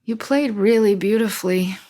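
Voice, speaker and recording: An actress playing an eager, easily indignant overachieving sister in an audio play, earnest and emphatic. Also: female voice, mid-range voice, moderate pace, mellow, close to an everyday voice.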